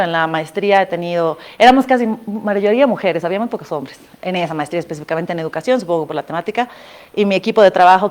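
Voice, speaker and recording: A young woman from Bolivia with a Bolivian Spanish accent, telling anecdotes to an audience in a casual, animated way, laughing often and asking listeners to agree with her. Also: on the low side. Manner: animated, laughs often, addresses listeners directly with tag questions. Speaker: former scholarship student giving a talk. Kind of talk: casual storytelling talk to an audience.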